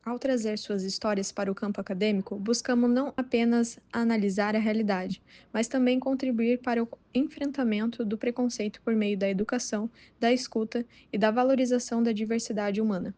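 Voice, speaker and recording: A woman from Brazil with a Brazilian Portuguese accent, a psychology student reading a formal academic introduction in a steady way. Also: a little high, brisk, few pauses.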